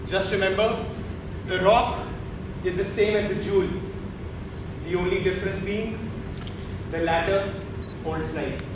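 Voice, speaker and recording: An actor playing a gentle, humble Sufi holy man, earnest and deferential, in a stage drama. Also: high voice, steady tone, unhurried, pauses often. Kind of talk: theatrical stage acting. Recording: echoey stage, distant mic, noisy.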